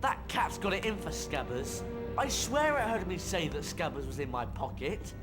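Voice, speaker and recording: This is a grumbling schoolboy wizard from a video game. The voice is high and swoops about excitably, with a complaining edge and music underneath. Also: male voice, moderate pace. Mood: indignant. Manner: complaining, exclamatory. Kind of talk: video game cutscene acting.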